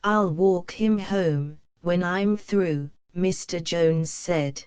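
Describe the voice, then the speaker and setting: Slow, clear woman reading a storybook. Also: fairly low pitch, darkish tone, dry recording. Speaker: storybook reader. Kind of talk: slow read-aloud for young learners.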